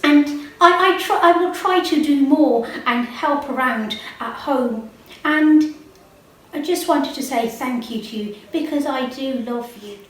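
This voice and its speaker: Woman as small boy puppet, affectionate, stumbling over repeated words, slow-paced, very echoey children's show. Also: sits high. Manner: excitable, stammering repetitions of words. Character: eager, grateful, affectionate little boy puppet. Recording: echoey room, distant microphone.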